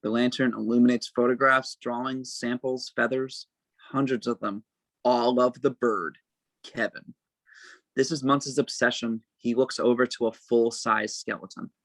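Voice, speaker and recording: A man reading stage directions as narrator at a table read, with measured, dramatic phrasing. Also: medium pitch, fairly even intonation, fairly bright tone, no room sound, poor audio.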